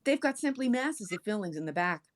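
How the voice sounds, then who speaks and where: A woman's voice: mid-range voice, very animated, fairly quick, natural voice. A woman voicing a courteous, puzzled seventeen-year-old boy lodger in a story, speaking a little hesitantly. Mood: polite, uneasy.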